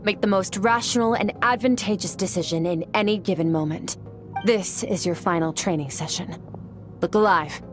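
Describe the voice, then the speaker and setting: A woman voicing a cool, detached female warrior mentor who gives calm, clipped instructions in a game cutscene. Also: mid-range voice, normal speed, music in the background, natural voice. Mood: coolly instructive. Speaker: sword mentor. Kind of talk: video game cutscene voice acting.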